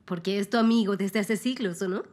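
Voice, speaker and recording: A woman's voice: mid-range voice, fairly quick, a little mellow, natural voice. An actress as a cheerful young woman. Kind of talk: Spanish dub of a TV drama.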